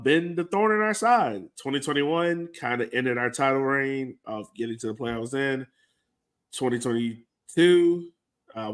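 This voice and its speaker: A man co-hosting a sports fan podcast, talking casually and informally with a resigned manner. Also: mid-range voice. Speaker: co-host of a soccer fan podcast.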